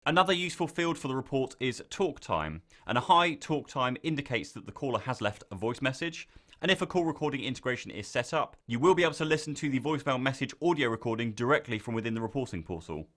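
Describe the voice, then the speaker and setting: A man reading a scripted software tutorial, giving clear step-by-step instructions as the narrator. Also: medium pitch, lively intonation, fast talker.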